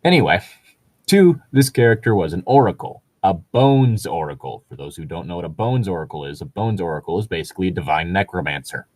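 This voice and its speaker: A man co-hosting a casual commentary chat about gaming stories, talking in a rambling, halting way. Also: sits low, sing-song, darkish tone, no room sound. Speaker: co-host reading and commenting on tabletop gaming stories.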